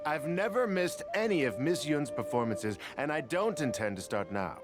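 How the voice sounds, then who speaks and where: A man voicing an eager young male opera fan who talks in an excited, gushing way. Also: fairly high pitch, normal speed, music in the background.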